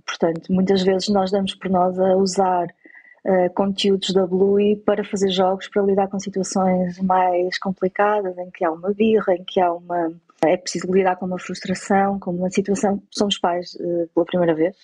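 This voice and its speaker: A woman's voice: on the low side, level tone, moderate pace, mellow, breathy edge, poor audio. A woman with a European Portuguese accent giving conversational interview answers, explaining and rephrasing as she goes. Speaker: interviewed parent.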